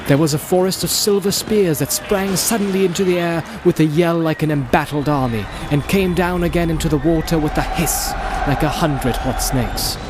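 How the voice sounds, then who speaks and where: A man reading a story as an audiobook narrator, theatrical and deliberate in his phrasing, in a dramatic storytelling style. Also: fairly high pitch, background noise. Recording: home-made, music and crowd sound mixed in. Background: music, crowd and outdoor sound effects.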